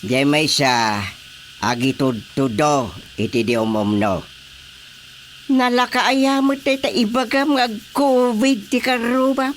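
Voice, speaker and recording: A man with a Filipino accent acting a calm, measured village chairman who placates quarrelling neighbours in a radio comedy drama. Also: high voice, a little hiss or hum. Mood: concerned, deliberate.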